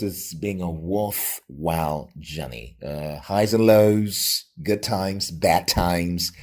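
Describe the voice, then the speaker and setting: Deep-voiced Nigerian man, slightly husky. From Lagos, Nigeria. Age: middle-aged. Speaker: radio presenter. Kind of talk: reflective personal storytelling in an interview.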